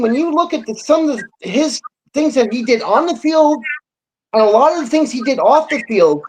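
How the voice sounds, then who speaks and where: A man talking sports casually as a panelist, reflective and halting, often repeating words as he builds a point. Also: very high voice, slight background noise, low-quality recording. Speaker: sports talk show co-host or guest.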